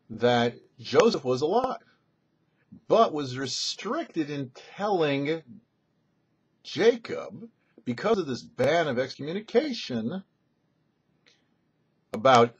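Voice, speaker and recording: A man, a rabbi, giving a weekly Torah commentary in a thoughtful, explanatory teaching manner with pauses. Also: medium pitch, breathy edge, lo-fi sound. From United States. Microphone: studio mic.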